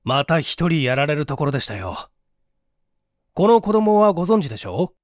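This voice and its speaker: A man playing a matter-of-fact police detective in a read-aloud story, explaining things in a measured way. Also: fairly high pitch, very animated, no echo, clean studio sound. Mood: grave.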